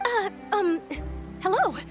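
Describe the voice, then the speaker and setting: A woman's voice: extremely high pitch, takes it slowly. A woman voicing a nervous, eager young female journalist in a video game, flustered and stammering. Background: music.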